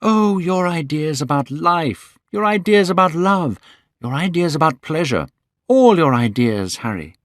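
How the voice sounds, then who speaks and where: A man's voice: on the high side, expressive, measured pace, dry recording, clean studio sound, pitched above the performer's own voice. A man doing an ardent young lover. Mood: excited, gushing. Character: young man, eager, romantic, lovestruck.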